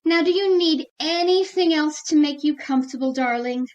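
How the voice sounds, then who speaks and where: A woman playing an excitable, doting housewife in an old-time radio comedy, with a theatrical, gushing delivery. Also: high voice, normal speed, highs cut off, lightly acted.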